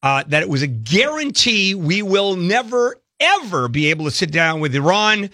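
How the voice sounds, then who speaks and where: A man's voice: high voice, sing-song, normal speed, bright, forceful tone, no echo, studio quality. Chatty jokey talk radio host, man.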